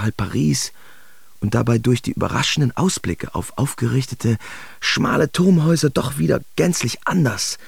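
An actor playing a German artist in a radio play, listing big-city impressions in clipped, observational fragments. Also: male voice, mid-range voice, creaky, darkish tone, husky, dry recording, exaggerated voice. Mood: observing, impressed. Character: male German artist recording sharp impressions of bustling New York.

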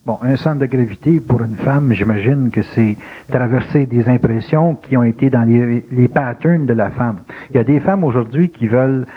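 A man's voice: mid-range voice, husky. A male interviewer with a Québécois French accent, speaking conversationally and reflectively, searching for his words as he frames questions. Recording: thin, phone-like lo-fi interview recording.

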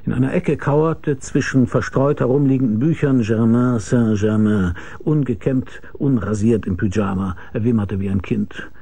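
Radio noir detective: husky, low and creaky, weary, laconic, deadpan narration. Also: male voice, normal speed, few pauses, dry recording. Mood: tense narration. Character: weary hard-boiled male private detective narrating his case. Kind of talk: radio crime drama narration and dialogue.

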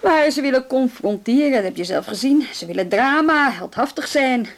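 A brisk female police inspector in a radio drama, higher-pitched with a creaky edge. She is dry, wry and businesslike. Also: expressive. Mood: curt, resolute.

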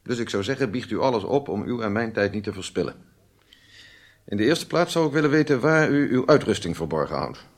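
An actor playing a stern police major in a radio drama, formal, measured and insistent in manner. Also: male voice, fairly low pitch, animated. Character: stern, methodical male police major interrogating a spy.

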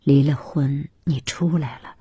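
Audiobook role: kindly older father played by a woman, breathy and low, gently inquisitive. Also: fairly even intonation, unhurried, dry recording, full character voice. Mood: gentle, inquiring. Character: Xiaoxi's father, a kindly, curious older man.

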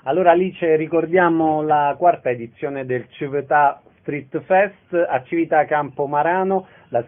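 A man with a fairly high voice hosts a radio interview. He speaks in brief questions and introductions, and the sound is thin and narrow like a telephone.

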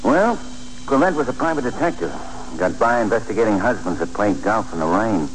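An actor playing a shrewd, folksy police detective with wry, slangy talk in a 1940s radio drama. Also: male voice, on the low side, animated, fairly quick, lightly acted. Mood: wry, knowing. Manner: slangy, wry, clipped phrasing. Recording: humming, noisy 1940s radio recording.

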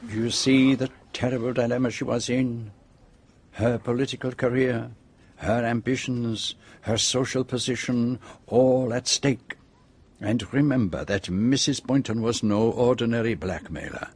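A man's voice: medium pitch, a little mellow, breathy edge. Methodical, theatrical male detective. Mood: knowing, persuasive. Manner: deliberate, precise, dramatic pauses. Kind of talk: radio drama acting.

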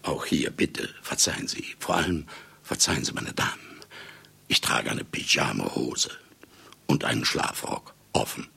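An older man, an actor in a German radio play, playing a vain, boozy, brusque writer with a gravelly, husky voice and a theatrical, self-mocking manner. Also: a bass voice, expressive, a little slow, pushed and bright, full character voice. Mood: wry, self-amused. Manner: theatrical, self-ironic, blustering, chuckling asides.

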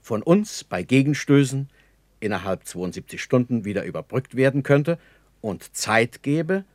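An actor playing a sober army engineer captain giving formal, precise reports in a German radio play. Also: male voice, medium pitch, lively intonation, a little slow, no room sound, clean studio sound, natural voice. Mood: sober, reporting. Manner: matter-of-fact, formal, precise.

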